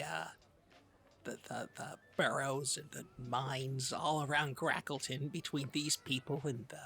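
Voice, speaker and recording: A man with a husky voice co-hosting a comedic podcast, improvising in a halting, stammering, mock-solemn way. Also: medium pitch, bright, forceful tone. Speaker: podcast co-host.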